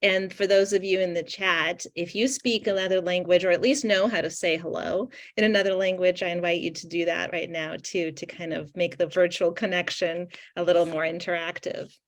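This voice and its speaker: A middle-aged woman from Ukraine whose first language is Russian, reading her poems slowly and deliberately over a video call. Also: lowish voice, fairly even intonation. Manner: slow, deliberate reading with weighted pauses. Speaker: poet. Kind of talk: poetry reading. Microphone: video call microphone.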